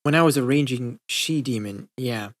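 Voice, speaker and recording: A man's voice: medium pitch, moderate pace, lightly acted. A man voicing a guarded, easygoing teenage girl in a casual, understated way. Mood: uneasy. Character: guarded, easygoing teenage girl, friendly but self-conscious.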